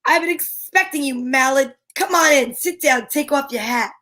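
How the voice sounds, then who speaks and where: A woman's voice: sits high, moderate pace, bright, forceful tone. A woman playing a wisecracking, confident female private eye who narrates her case in a wry old-time radio style. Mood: arch, sardonic.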